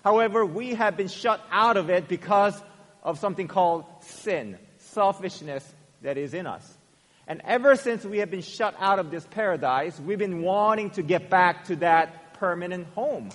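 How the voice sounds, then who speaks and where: A man in his forties from South Korea with a Korean accent, preaching a sermon as pastor, earnest, stressing words and then softening at the ends of phrases. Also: high-pitched, lively intonation, normal speed. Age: 40s. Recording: long hall reverb.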